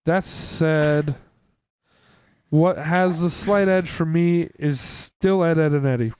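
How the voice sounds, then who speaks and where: A man's voice: fairly high pitch, takes it slowly, darkish tone, clean tone, no room sound. A man chatting casually as a podcast co-host, steering the discussion decisively. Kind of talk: casual chat.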